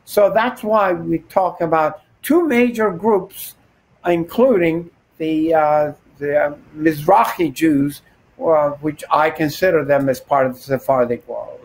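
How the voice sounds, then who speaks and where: An older man from Turkey, a rabbi, giving measured, scholarly explanations as an interview guest. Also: a little high, poor audio.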